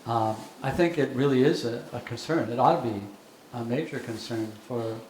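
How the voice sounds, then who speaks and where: A man's voice: medium pitch, normal speed. Older man speaking in an echoey hall. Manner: thoughtful, hesitant with many fillers. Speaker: bishop giving a lecture. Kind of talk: lecture and Q&A remarks. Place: lecture hall. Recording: echoey hall with background noise.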